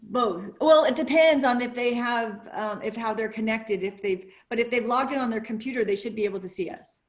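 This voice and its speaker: A woman's voice: fairly high pitch, a little fast. A woman hosting a webinar, chatting casually beforehand and rambling with fillers and restarts. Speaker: webinar host.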